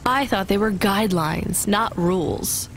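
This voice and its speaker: A woman plays a young sci-fi game courier, guarded and direct. Her middle-pitched voice is questioning, with faint vehicle noise behind it. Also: measured pace, natural voice. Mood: alarmed, questioning. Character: wary, questioning young female delivery driver. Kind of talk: video game voice acting.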